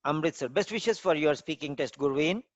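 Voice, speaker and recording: A man's voice: a little high, dry recording, lo-fi sound. Man as formal mock examiner. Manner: formal, measured questioning. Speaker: IELTS trainer playing examiner. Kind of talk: mock exam interview.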